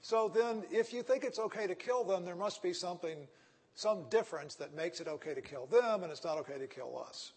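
A man with a Texan accent lectures, pressing an argument one step at a time and often stopping to ask "right?" or "okay?". His voice sits high for a man and sounds slightly husky. It carries a long, ringing reverb from a large hall. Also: lively intonation, a little fast, a little mellow. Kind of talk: ethics lecture. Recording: echoey hall.

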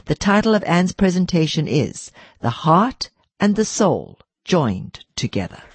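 A woman's voice: on the low side, very animated, measured pace, slightly rough. A woman hosting a speaker series, reading a formal scripted introduction of the next speaker. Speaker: series host.

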